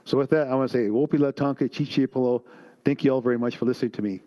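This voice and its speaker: A man giving the closing remarks of a symposium talk, earnest and a little halting. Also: mid-range voice, dark tone, lo-fi sound. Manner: earnest, with hesitations. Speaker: guest speaker at a symposium. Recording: long hall reverb.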